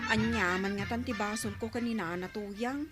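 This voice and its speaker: A woman's voice: mid-range voice, moderate pace, over music, natural voice. A woman acting a feisty, talkative village councilwoman with an Ilocano accent, exclaiming and bickering in a broadcast comedy drama. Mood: chatty.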